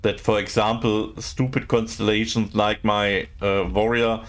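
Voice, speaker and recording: A man, speaking English as a while streaming a game and chatting casually with viewers, often pausing to search for words. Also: on the low side, level tone. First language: not English. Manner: halting, searching for words, many fillers.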